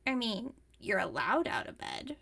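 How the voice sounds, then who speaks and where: Woman as a high-voiced, wry, caring werewolf roommate. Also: very animated, measured pace, creaky, breathy edge, heavily acted. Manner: casual, teasing, rambling jokes, gently bossy. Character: caring, wry young female werewolf roommate, pack beta. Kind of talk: ASMR roleplay, intimate comforting monologue.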